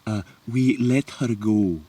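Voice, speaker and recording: A woman playing a gruff but bumbling pirate crewman in an audio drama, deferential and hesitant. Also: rumbling low, takes it slowly, warm tone, exaggerated voice. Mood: nervous.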